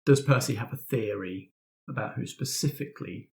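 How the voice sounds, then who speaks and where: A man narrating a tabletop roleplaying game as game master, in deliberate, descriptive phrases. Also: medium pitch, gravelly.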